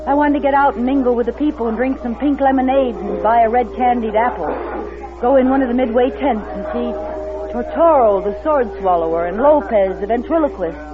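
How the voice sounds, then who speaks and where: A woman's voice: on the high side, a little fast, lightly acted. An actress playing an anxious, wary woman motorist on the run, narrating in a dramatic 1940s radio suspense style. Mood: wistful narration. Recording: dull vintage radio recording with music behind.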